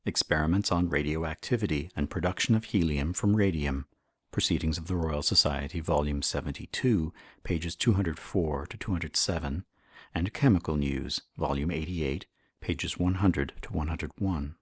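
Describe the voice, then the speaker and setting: A man with a husky voice reads a historical science text as formal, measured audiobook narration. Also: rumbling low, no echo, clean studio sound. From Canada. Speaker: audiobook reader.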